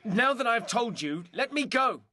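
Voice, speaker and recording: A man's voice: extremely high pitch, big pitch swings, unhurried, bright, forceful tone, slight background noise, exaggerated voice. A man playing an anxious, eager ranger companion in an amateur fantasy audio play.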